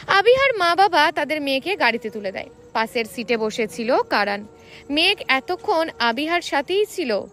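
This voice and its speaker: A woman reading a scripted romantic story as an expressive narrator. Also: sits high, moderate pace, faint music behind.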